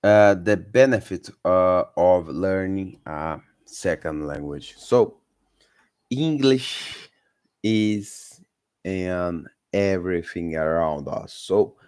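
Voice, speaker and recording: Slow-talking man, low voice. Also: a little mellow, clean tone, dry recording. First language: Portuguese. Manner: hesitant, many fillers and self-corrections, encouraging. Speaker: vlogger sharing language tips. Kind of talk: casual unscripted talk to camera.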